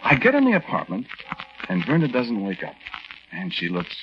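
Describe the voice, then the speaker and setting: A man's voice: medium pitch, wildly swooping pitch, normal speed, over music. An actor playing an aggrieved young soldier husband who tells his story in a folksy, hesitant, conversational way in a 1940s radio drama. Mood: tense, confiding. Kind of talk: 1940s radio drama first-person narration. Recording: dull old 1940s radio recording.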